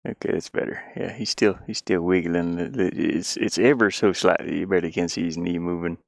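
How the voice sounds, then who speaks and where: A man thinking out loud in casual livestream talk, muttering to himself as he works on a game. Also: deep voice, steady tone, brisk, creaky, dry recording, studio quality. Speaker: indie game developer streaming.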